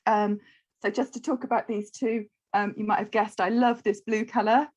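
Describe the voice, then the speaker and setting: A woman's voice: mid-range voice, steady tone, normal speed, darkish tone, muffled recording. A woman from the United Kingdom chatting on a video call, hesitant and apologetic, with many ums. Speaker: quilt-along participant. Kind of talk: casual chat on a video call.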